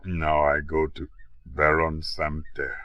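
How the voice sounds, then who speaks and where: Very deep, slow voodoo believer. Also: male voice, completely flat delivery, dry recording, muffled recording. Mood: solemn, weak. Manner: slow broken English, chanting foreign phrases. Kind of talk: 1950s radio drama acting.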